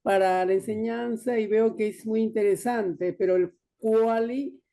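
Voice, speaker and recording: A student asking the professor a halting question during an online class on a video call. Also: medium pitch, fairly even intonation, creaky, dark tone.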